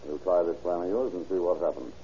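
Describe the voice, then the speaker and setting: An actor in an old radio crime drama playing a steady, shrewd police chief who goes about as a hobo. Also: male voice, low voice, fast talker, close to an everyday voice. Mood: businesslike. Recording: dull, hissy old radio recording.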